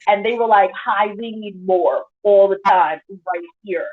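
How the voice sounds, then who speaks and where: A woman pole dance instructor telling stories on a panel, animated with quick asides, heard over a video call. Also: mid-range voice, breathy edge. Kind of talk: casual panel discussion. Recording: tinny phone-like video call audio.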